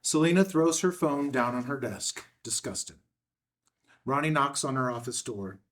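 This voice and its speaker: A man's voice: medium pitch, unhurried. A man reading a film script's stage directions as narrator, in a matter-of-fact way.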